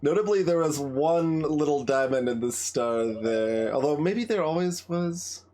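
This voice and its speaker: A man's voice: a little high, animated, measured pace, warm tone. A man giving casual, musing commentary as he plays a video game, often hesitating and trailing off. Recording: music behind the voice. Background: game music.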